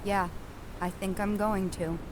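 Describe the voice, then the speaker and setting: A woman's voice: medium pitch, fairly even intonation, unhurried, natural voice. A woman playing a weary, determined young female climber in a family audio drama, blunt, dry and guarded. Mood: weary, reluctant. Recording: clear background noise, ambience.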